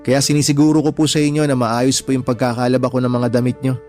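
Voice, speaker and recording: A man's voice: medium pitch, level tone, fast talker, a little mellow, faint music behind, lightly acted. A man acting in a radio drama as a meek young son-in-law, respectful, earnest and pleading. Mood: hurt, pleading. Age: young adult.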